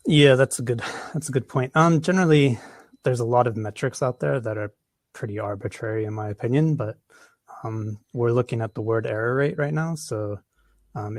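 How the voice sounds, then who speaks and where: A man's voice: lowish voice, darkish tone, dry recording. A man with an American accent answering audience questions after a conference talk, with lots of ums and restarts. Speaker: conference presenter.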